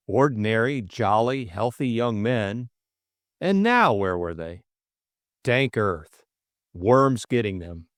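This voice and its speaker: A man's voice: on the low side, dry recording, studio quality. A man narrating a novel as a scripted audiobook, reading expressively.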